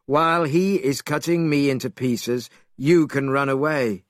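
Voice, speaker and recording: A man's voice: medium pitch, unhurried, dry recording, studio quality, close to an everyday voice. A man voicing a brave, bossy monkey hero in a storybook reading. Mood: bold.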